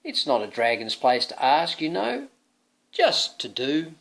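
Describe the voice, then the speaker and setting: A man's voice: on the high side, wildly swooping pitch, measured pace, vocal fry, fairly bright tone, full character voice. A man voicing a genteel, clueless old green dragon in a children's storybook, speaking in an avuncular manner. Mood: genteel, puzzled.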